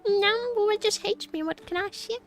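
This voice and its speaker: A woman streamer giving casual live commentary on a game, expressive and playfully complaining, often repeating her phrases. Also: very high-pitched, normal speed. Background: game music.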